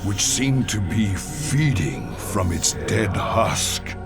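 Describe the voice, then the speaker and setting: A man voicing a grim alien warrior, narrating in a measured, grave way. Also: on the low side, sing-song, creaky, heavily acted. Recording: orchestral music behind.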